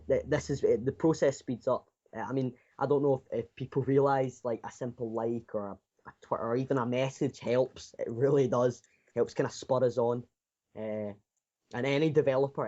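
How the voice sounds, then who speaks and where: A man's voice: medium pitch, fairly even intonation, fairly quick, dark tone, lo-fi sound. A sixteen-year-old male game developer giving casual, unscripted interview answers, rambling and often repeating his phrases. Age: 16, teenager. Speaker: self-taught indie game developer being interviewed.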